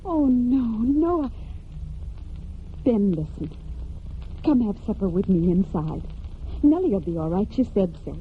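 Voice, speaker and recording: A woman's voice: medium pitch, sing-song, measured pace, long pauses. An actress playing an earnest, firm country woman with homespun speech in a 1940s radio drama. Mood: coaxing. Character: earnest, firm rural woman who runs a farm. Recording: tinny, noisy old radio broadcast.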